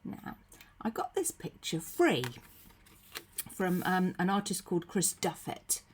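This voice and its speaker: A woman with a cold, her voice husky and croaky, giving a gentle, chatty devotional talk from her room into her computer. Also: on the low side, very animated, slow pace, slight background noise. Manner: gentle, chatty, with frequent ums and soft asides. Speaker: faith leader giving a short devotional. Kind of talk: casual devotional talk to camera. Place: her own room at home. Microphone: computer webcam.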